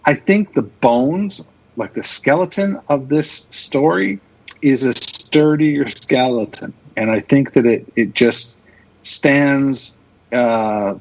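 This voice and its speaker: A man's voice: medium pitch, low-quality recording. A man chatting casually and with opinions as a podcast guest, speaking with enthusiasm and emphatic repetition. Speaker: podcast guest, radio drama producer.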